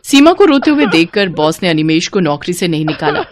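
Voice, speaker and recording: A woman's voice: lowish voice, very animated, fairly quick, slight background noise. A woman storyteller narrating a scripted moral story.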